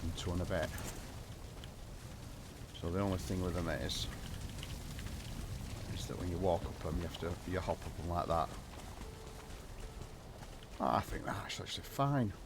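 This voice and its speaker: A man live-streaming a building game, chatting casually with viewers and croaky from a cold. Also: low-pitched, unhurried, long pauses, background noise. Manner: rambling, self-deprecating, chats with viewers.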